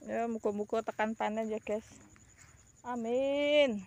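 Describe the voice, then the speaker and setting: A woman's voice: a little high, unhurried, darkish tone, highs cut off. A woman in her thirties with a Javanese ngapak accent, chatting to viewers in a casual vlog, rambling and calling them guys, filmed outdoors on a phone held at arm's length. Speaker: vlogger. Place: outdoors by rice fields.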